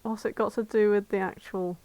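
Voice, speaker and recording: A woman's voice: medium pitch, steady tone, fairly quick, a little mellow, dry recording. A British woman co-hosting a podcast in casual comedic chat, with a dry, exasperated manner. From Britain. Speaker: podcast co-host.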